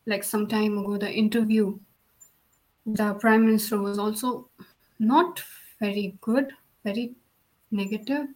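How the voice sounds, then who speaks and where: A woman from Nepal joins a casual chat as a guest over a video call. Her voice sits at an average pitch, and she speaks in short, plain statements with simple phrasing. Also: steady tone, unhurried, pauses often, darkish tone, rough recording.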